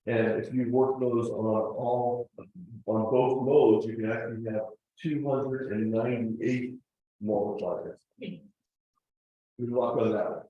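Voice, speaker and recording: A man's voice: mid-range voice, fairly even intonation, takes it slowly, lo-fi sound, a little hiss or hum. Echoey, distant man presenting to a club. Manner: explanatory, conversational asides. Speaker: club presenter explaining contest rules. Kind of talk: informal slideshow lecture. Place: echoey indoor meeting room. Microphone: distant room microphone. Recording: very echoey room, distant mic.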